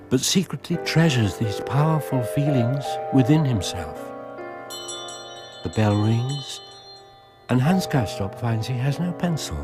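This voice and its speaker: An actor narrating a literary radio drama as a solemn, absorbed storyteller with a measured, expressive delivery. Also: male voice, mid-range voice.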